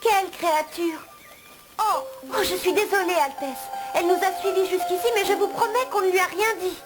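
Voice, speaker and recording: A woman voicing a quick-witted, bossy little fairy girl in a French cartoon dub. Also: very high-pitched, low-quality recording. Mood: apologetic. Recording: music behind the voice.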